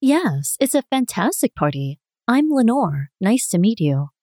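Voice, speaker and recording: A woman reading her parts in a scripted dialogue for a language listening test, clear and expressive. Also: on the high side, measured pace, no room sound, studio quality.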